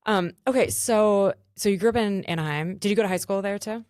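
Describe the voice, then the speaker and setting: A woman's voice: mid-range voice, a little mellow, no room sound, clean studio sound. A woman from Germany hosting a podcast, chatty and reactive, often saying how interesting things are. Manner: quick, chatty, says "interesting" a lot. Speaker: podcast host. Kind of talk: casual interview chat.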